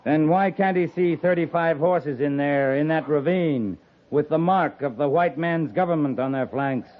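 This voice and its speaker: An actor playing a stern, shrewd, commanding US Army cavalry captain in 1950s radio western style. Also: male voice, on the high side, moderate pace, natural voice. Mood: stern, challenging. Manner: commanding, clipped, sternly challenging. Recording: muffled lo-fi 1950s radio recording.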